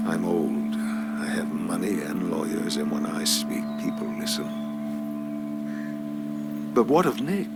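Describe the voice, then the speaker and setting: An actor playing a smug, menacing elderly businessman in a video game, measured and silky, with theatrical pauses. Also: low voice, noisy background, exaggerated voice. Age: older. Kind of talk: video game dramatic acting.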